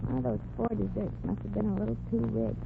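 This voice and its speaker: A brassy young showgirl, trading slangy wisecracks with a lively, swooping voice. It comes through a thin, tinny old radio broadcast with clear hiss underneath. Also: medium pitch. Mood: wistful narration. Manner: sassy, slangy, quick wisecracks. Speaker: lead actress. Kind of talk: 1940s radio comedy acting.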